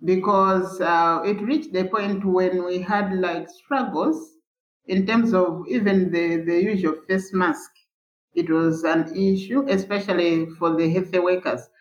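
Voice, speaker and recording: A woman's voice: lowish voice, steady tone. Earnest woman, echoey dull line. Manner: earnest, halting, with many fillers and restarts. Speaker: palliative care nurse, interviewee. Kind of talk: candid interview recollection. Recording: echoey, dull remote recording.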